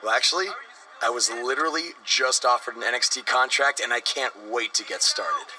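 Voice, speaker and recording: A man's voice: medium pitch, a little fast, background noise, lightly acted. A man acting an earnest, cocky young American pro wrestler in a video game cutscene. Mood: cocky, eager. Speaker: voice actor as game protagonist.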